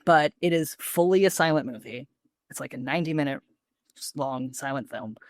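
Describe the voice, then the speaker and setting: Podcast host just chatting, super into it, slangy and sweary, medium pitch, no room echo. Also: moderate pace, studio quality. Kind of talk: casual podcast chat.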